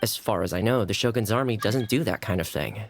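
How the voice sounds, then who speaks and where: A man's voice: on the low side, fairly quick, noisy background, close to an everyday voice. A man playing a friendly, considerate young man in a video game, easygoing and conversational. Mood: thoughtful. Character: friendly, considerate young man, well-connected old pal.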